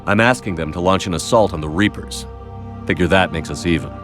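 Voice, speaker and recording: A man playing a calm, dry, resolute military commander in video game cutscene dialogue. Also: low voice, a little fast, over music, natural voice. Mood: dry, supportive.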